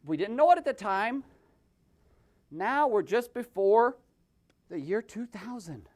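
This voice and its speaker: A man narrates a comic puppet story with big swings in pitch. He is theatrical and mocking, and sounds as if he is making it up as he goes. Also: sing-song, unhurried, a little mellow. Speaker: host and puppeteer.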